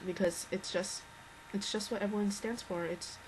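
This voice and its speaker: A teenage girl with a low, slightly worn voice, rambling earnestly through an unscripted video message as a fan. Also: fairly even intonation, moderate pace, noisy background. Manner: rambling, earnest, many restarts and fillers. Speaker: fan addressing a singer.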